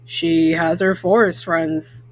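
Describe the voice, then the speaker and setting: A woman voicing an easygoing grown papa bear in a children's storybook, speaking with calm reassurance. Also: lowish voice, takes it slowly, no room sound, pitched below the performer's own voice, poor audio. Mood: calm, reassuring.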